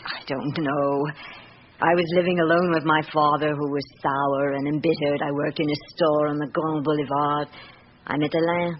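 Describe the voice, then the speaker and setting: A middle-aged British wife played by a woman speaks in a radio drama with guarded, wistful composure. She is reflective and deliberate, on a slightly noisy, dull old recording. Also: sits low, flat delivery, lightly acted.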